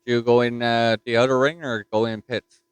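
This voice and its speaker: A man's voice: mid-range voice, fairly even intonation, fairly quick, clear, resonant tone, no room sound. A man with a Newfoundland accent chatting casually on a ham radio repeater from his home desk mic, folksy and full of fillers. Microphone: desktop mic.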